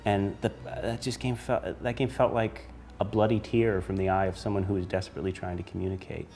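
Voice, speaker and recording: Deep-voiced, blunt man. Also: little pitch movement, fairly quick. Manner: opinionated, emphatic, blunt. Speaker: writer being interviewed. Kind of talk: interview commentary. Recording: music behind the voice. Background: background music.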